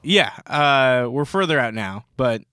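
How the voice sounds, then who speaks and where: A man's voice: medium pitch, expressive, unhurried, bright, forceful tone, smooth, no room sound, clean studio sound. A young man co-hosting a podcast, chatting casually, rambling with restarts and frequent laughs. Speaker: podcast co-host.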